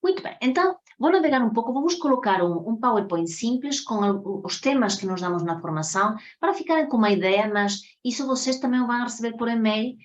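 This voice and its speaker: A woman's voice: fairly high pitch, animated, brisk, few pauses, muffled recording. A woman from Spain with a Spanish accent, teaching an introductory online workshop in a didactic way, often checking in with her listeners. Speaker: psychotherapy teacher.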